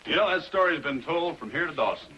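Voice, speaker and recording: Genial Yukon Mountie, steady hero, lively intonation, hearty delivery, dull lo-fi 1950s TV sound. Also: male voice, a little high, a little fast, a little hiss or hum. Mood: curious. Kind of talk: 1950s television western acting.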